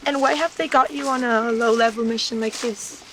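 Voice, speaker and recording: A woman acting as a friendly, curious field operative with a fairly high voice. She chats casually, as in a found-footage horror film, over clearly noisy tape. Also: steady tone, brisk, fairly bright tone, close to an everyday voice.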